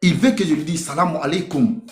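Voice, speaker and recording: A man's voice: fairly high pitch, brisk. A man from Africa speaking with emphasis in a group debate, giving impassioned personal testimony and repeating phrases for weight. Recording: echoey room.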